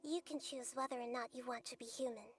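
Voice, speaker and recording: A woman voicing a wise, childlike little goddess in a video game, soft and youthful, speaking in a measured, earnest way. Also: a little high, steady tone, natural voice. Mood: gently earnest. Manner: measured, thoughtful, philosophical. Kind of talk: video game cutscene acting. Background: background music.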